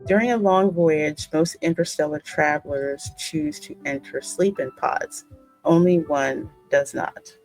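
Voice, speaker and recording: Low-voiced woman narrating a game story. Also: unhurried, a little mellow, soft background music, low-quality recording. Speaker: gaming video host and narrator. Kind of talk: reading game story aloud, casual reactions.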